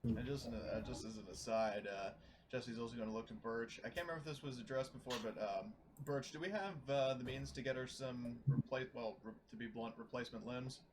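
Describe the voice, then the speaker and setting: A man's voice: mid-range voice, brisk, background noise. A man talking casually as a player in a tabletop roleplaying game.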